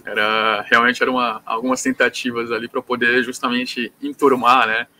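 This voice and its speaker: Bright-voiced man hosting a stream. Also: mid-range voice, normal speed, slight background noise. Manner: relaxed, filler-heavy questions. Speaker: host. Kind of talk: live stream interview.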